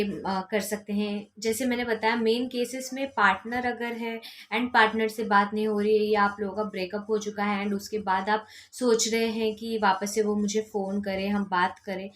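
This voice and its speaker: An Indian woman giving instructional how-to talk as a coach, repeating key steps and mixing in English words. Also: fairly high pitch. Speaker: law of attraction coach.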